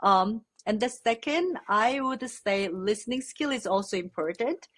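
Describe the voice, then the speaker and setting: A woman's voice: on the high side, a little slow. Korean woman chatting in an interview. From South Korea. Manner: relaxed, thoughtful, frequent fillers. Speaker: podcast guest. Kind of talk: casual interview chat.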